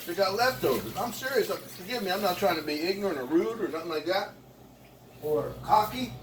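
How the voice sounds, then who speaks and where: A man's voice: sits high, far from the mic, a little hiss or hum, poor audio. A man in his sixties, a vlogger and motivational speaker, chatting casually to the viewer in a rambling way while he cooks in his kitchen. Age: 60s. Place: home kitchen.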